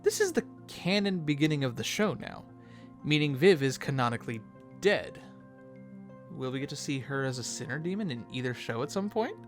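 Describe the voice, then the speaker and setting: A video host giving casual, expressive conversational commentary. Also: medium pitch, normal speed, faint music behind.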